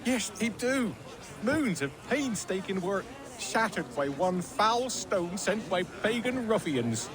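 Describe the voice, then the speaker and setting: A man's voice: high-pitched, big pitch swings, slow pace, a crowd in the background, exaggerated voice. A man playing a drunken, bitter and boisterous glassmaker in a video game, rambling and theatrical. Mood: indignant. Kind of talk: video game character dialogue. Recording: music behind the voice.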